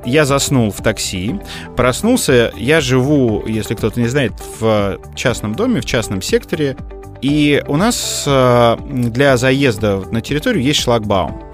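A man's voice: mid-range voice, lively intonation, unhurried, faint music behind. A man co-hosting a podcast, telling things casually in a hesitant, digressive way full of fillers. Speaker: podcast co-host. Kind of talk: casual conversational storytelling.

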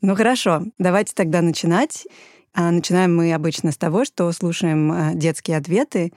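A woman's voice: lowish voice, steady tone, moderate pace, a little mellow, no room sound, studio quality. A woman hosting a podcast, introducing guests and guiding the talk with friendly questions. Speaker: podcast host.

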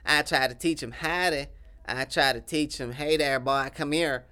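A man's voice: fairly high pitch, steady tone, normal speed, pushed and bright, clear, resonant tone. A man voicing a boastful folksy Texan cowboy with a Southern accent, talking in a drawling, ungrammatical, blustering way. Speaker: interview guest.

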